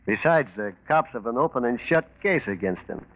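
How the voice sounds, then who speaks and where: An actor playing a cocky, slangy small-time American crook in 1940s radio style. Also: male voice, mid-range voice, lively intonation, brisk, natural voice. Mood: smug, gloating. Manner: slangy, wisecracking. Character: cocky small-time crook, smug double-crosser, male. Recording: tinny 1940s radio recording.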